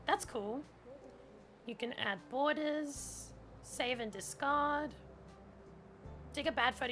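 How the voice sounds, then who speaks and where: A woman's voice: fairly high pitch, pushed and bright. A woman whose first language is German, chatting casually in a gameplay stream and reading on-screen text aloud, with lots of "like". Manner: chatty, heavy use of "like", reads on-screen tutorial text aloud.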